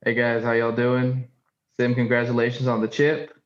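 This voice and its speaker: Echoey, flat-toned man on sports panel. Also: medium pitch, moderate pace. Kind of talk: casual sports chat. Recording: dull, roomy, echoey connection.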